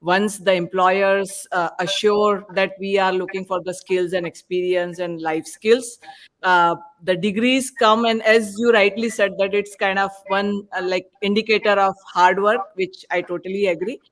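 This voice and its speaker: A woman's voice: medium pitch, flat delivery, measured pace. A woman speaking as a panelist in a podcast discussion, with hesitant, meandering phrasing.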